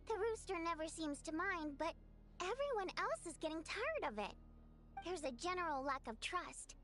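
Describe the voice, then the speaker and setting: Jaded game insider, extremely high voice with lively swoops, dry and confiding. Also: female voice. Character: jaded, gossipy female political onlooker.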